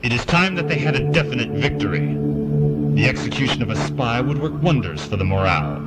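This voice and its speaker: An actor playing a stern, ruthless military marshal in an audio drama, speaking in an emphatic, self-justifying way. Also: male voice, medium pitch, sing-song, a little fast, natural voice. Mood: defensive, insistent. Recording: dramatic music behind voice.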